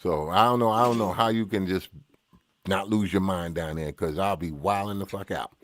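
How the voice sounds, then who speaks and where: A man's voice: sits low, moderate pace. A man co-hosting a podcast, chatting in an informal, slangy way with some swearing. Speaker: podcast co-host. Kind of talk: casual chat.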